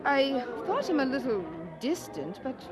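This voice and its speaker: A woman acting a devoted, anxious wife of an eighteenth-century preacher, concerned and expressive, in an audio drama. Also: high voice, unhurried, music in the background.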